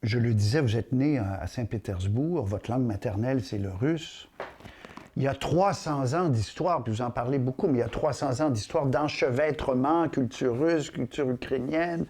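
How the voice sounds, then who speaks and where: Male news interviewer, relaxed mellow voice, probing. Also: medium pitch, moderate pace, slightly rough. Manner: probing, rephrasing questions mid-sentence. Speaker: interviewer, host.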